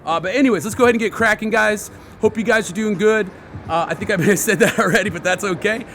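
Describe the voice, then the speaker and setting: A man hosting a graffiti how-to, his voice hoarse, chatting casually and rambling in slang while he paints outdoors. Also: sits high, fast, fairly bright tone, a little hiss or hum. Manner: laid-back, rambling, slangy. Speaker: graffiti artist and channel host. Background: hip hop backing beats.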